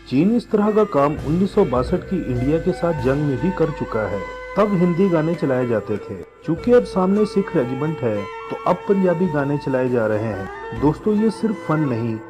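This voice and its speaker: Animated Pakistani man, higher-pitched voice. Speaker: news channel host. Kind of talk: scripted news commentary over video. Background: music bed.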